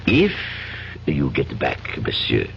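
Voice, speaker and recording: An actor playing a suave, menacing official in a radio drama, courtly and deliberate. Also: male voice, extremely low pitch, wildly swooping pitch, vocal fry, fairly bright tone, full character voice. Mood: amused menace. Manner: courtly, deliberate, precise, veiled threat. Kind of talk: 1970s radio drama acting. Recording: muffled old radio broadcast, faint hiss.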